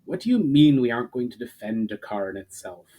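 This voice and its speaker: A man reads the part of a male elf war leader in a fantasy storybook. He is decisive and commanding, with a middle-pitched voice. His delivery is assured, deliberate and matter-of-fact. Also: big pitch swings, warm tone. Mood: grave, explanatory.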